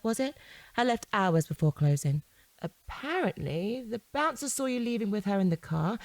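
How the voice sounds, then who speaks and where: A dry-recorded female voice at medium pitch. A woman plays a suspicious wife in an audio drama, pressing with controlled, sceptical questions and refusing to let go. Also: a little mellow, no room sound, lightly acted, rough recording. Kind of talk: naturalistic audio drama dialogue.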